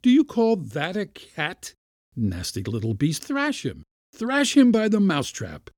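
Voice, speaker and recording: A man's voice: mid-range voice, very animated, unhurried, a little mellow, husky, no echo, studio quality. A man voicing a pompous, short-tempered Latin schoolmaster in a storybook reading, scolding and giving orders. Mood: raging. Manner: scolding, commanding, ranting. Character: irascible Latin schoolmaster uncle, pompous and furious.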